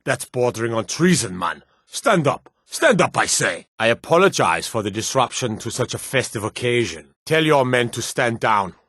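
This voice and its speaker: A man playing a dignified, courtly lord with a formal, measured way of talking in a fantasy video game. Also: mid-range voice, sing-song, fairly bright tone, no echo, clean studio sound. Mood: indignant.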